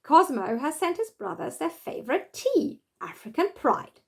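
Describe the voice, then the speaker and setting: Woman acting brisk storybook mother, high-pitched. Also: sing-song, heavily acted. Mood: delighted. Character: gruff bossy mother fussing over her floors.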